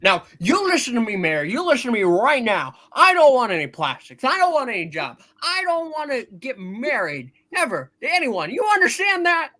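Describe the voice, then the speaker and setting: Lady doing a awkward stammering young guy in a radio play, blustery, bright, swings up and down. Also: fairly high pitch, sing-song, moderate pace, hardly any pauses, heavily acted. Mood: agitated. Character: flustered, stubborn young man, awkward reluctant suitor. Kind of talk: hammed-up amateur radio play reading.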